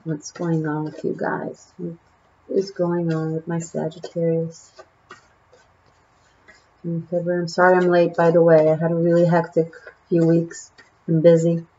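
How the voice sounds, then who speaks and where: A woman's voice: lowish voice, warm tone, muffled recording. A woman from Israel with an Israeli accent, a native Hebrew speaker, giving a casual tarot reading to the camera, musing and chatty with many fillers. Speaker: tarot reader.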